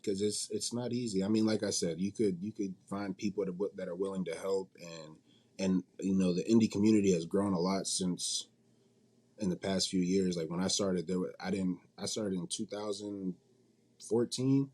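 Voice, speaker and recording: A man's voice: sits low, fairly even intonation, moderate pace, darkish tone. A man speaking informally as an interview guest, colloquial and repeating points for emphasis. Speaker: guest, indie manga publisher and company CEO. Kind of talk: casual interview chat.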